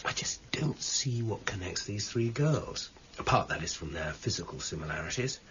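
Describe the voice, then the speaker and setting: A senior male detective in a radio crime drama, low in pitch and measured. He probes with dry politeness and quiet insistence. The recording is slightly dull and muffled. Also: animated, slight background noise. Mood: thoughtful. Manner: measured, probing, dryly polite. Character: shrewd, unruffled senior male police detective, dryly insistent. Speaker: police detective in charge.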